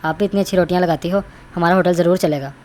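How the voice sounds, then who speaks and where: A woman voicing a kind, eager young male eatery helper, friendly and earnest, in an animated moral story. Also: fairly low pitch, very fast, close to an everyday voice. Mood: sympathetic, earnest.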